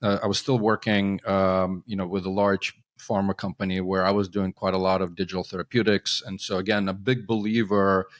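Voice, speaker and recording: A middle-aged man from Ukraine who grew up around New York, speaking as a podcast guest. His voice sits a bit low, and he walks through his explanations in a relaxed, friendly way. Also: a little fast, few pauses. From Ukraine, raised in New York and New Jersey. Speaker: guest, company co-founder. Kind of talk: conversational interview.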